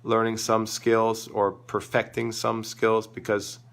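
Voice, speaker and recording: Hoarse, gravelly man coaching tennis. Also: lowish voice, completely flat delivery, slow pace, a bit bright. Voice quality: crackly, gravelly voice. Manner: enthusiastic, rambling, thinks aloud. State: sore throat, losing his voice. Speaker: tennis coach and show host. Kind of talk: live-stream Q&A talk.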